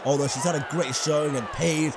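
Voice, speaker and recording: A young man giving excitable play-by-play commentary over a wrestling video game, his voice slightly raspy and breaking into shouts. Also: fairly high pitch, fairly quick, background noise. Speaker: gaming commentator.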